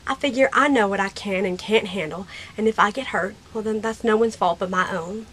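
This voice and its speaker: A woman's voice: medium pitch, brisk, fairly bright tone, a little hiss or hum, close to an everyday voice. A woman playing a stubborn, sassy, self-reliant teenage frontier girl in an amateur audio drama. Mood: breezy, defiant.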